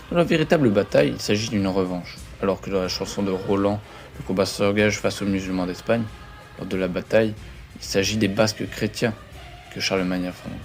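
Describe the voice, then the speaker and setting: A man's voice: fairly low pitch, a little mellow. A man giving a scripted, formal educational narration as a history presenter. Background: background music.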